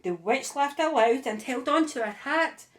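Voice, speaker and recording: A woman reading a rhyming picture book aloud in a rhythmic, expressive way. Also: high voice, moderate pace. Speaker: storybook reader.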